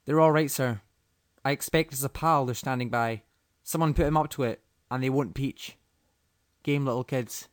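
A woman voicing a shrewd, gruff but kind countryman gamekeeper who talks in a rough country dialect. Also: very deep voice, moderate pace, no echo, studio quality, pitched below the performer's own voice.

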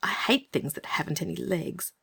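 Reasoning storybook girl, hushed and husky, voiced by a man. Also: sits high, big pitch swings, normal speed, pitched above the performer's own voice, heavily acted. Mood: thoughtful. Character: thoughtful young girl, sensible.

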